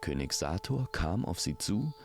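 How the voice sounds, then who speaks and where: Deep, slow male storyteller. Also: big pitch swings, darkish tone. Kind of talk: scripted fantasy story narration.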